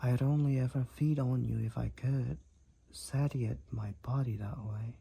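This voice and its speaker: A man voicing a devoted, protective vampire boyfriend with courtly old-fashioned phrasing and a husky, creaky voice, in an intimate roleplay audio. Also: mid-range voice, steady tone, measured pace, mellow, full character voice. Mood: worried, adoring. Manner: archaic, courtly phrasing, tender endearments. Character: devoted, overprotective young-looking male vampire boyfriend, 128 years old.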